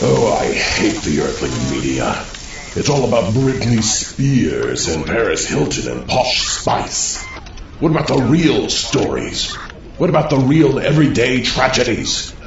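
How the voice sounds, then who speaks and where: Man playing a slow, pompous, echoing alien warlord. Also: medium pitch, very animated, creaky. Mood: exasperated ranting. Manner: bombastic, slow, grandly declaiming. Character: pompous, impatient tentacled Martian invader warlord. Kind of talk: comic radio sci-fi drama. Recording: muffled, echoey recording with some noise.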